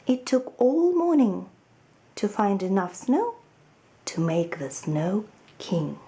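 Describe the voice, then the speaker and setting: A woman reads a children's story in a soft, mellow voice. Her pace is slow and measured, and her intonation rises and falls expressively as she narrates. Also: mid-range voice, big pitch swings. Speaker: storybook reader.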